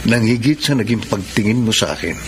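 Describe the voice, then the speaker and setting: An actor playing a heartbroken, self-sacrificing jilted lover in a Filipino radio melodrama, solemn and emotive with dramatic pauses and a Filipino accent. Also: male voice, lowish voice, lively intonation, background noise, close to an everyday voice. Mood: solemn, resigned. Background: melodramatic background music.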